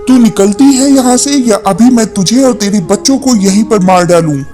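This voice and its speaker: A mid-pitched voice of a woman acting as a cartoon father bird. He is selfish, demanding and domineering, a household bully. Faint music runs underneath. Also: normal speed, close to an everyday voice. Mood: threatening. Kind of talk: Hindi animated moral story dialogue.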